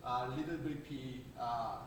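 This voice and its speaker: A man with a Korean accent giving an academic conference talk, halting, with many filler sounds and restarts. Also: mid-range voice, background noise. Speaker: conference speaker. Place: large conference hall. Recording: echoey hall recorded from far off.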